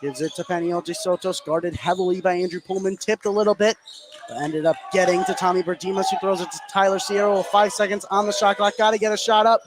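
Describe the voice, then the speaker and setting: A male basketball commentator with a high voice calls the game live for radio. He follows the action pass by pass and grows excitable on the big plays. Clear gym noise runs behind him. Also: fast talker. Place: indoor basketball gym, courtside.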